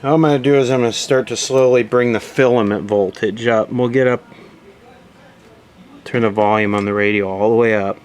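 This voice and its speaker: A man's voice: medium pitch, fairly even intonation, brisk, smooth. A man giving a casual, matter-of-fact running commentary as he does hands-on repair work, thinking aloud. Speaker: electronics repair video host.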